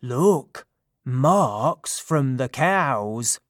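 A reader doing Silenus, a loud, dim satyr chief. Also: mid-range voice, sing-song, slow pace, dry recording, studio quality. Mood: excited. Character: fat, dim-witted male satyr leader.

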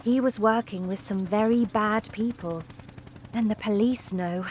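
A woman voicing a soft-spoken kind teacher. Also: medium pitch, measured pace, a little hiss or hum, close to an everyday voice. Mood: gentle. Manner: soft, gentle, reassuring. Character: kind gentle schoolteacher woman, soft and calm.

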